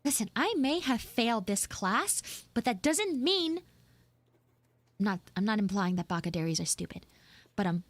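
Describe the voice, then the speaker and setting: A woman voicing a defensive, flustered tsundere anime schoolgirl who acts mean but is secretly soft, in an improvised livestream roleplay. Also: mid-range voice, very animated, no echo. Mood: indignant. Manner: defensive, pouty, halting and repetitive. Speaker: livestreamer and VTuber voice actress. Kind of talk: improvised live audio roleplay with chat asides.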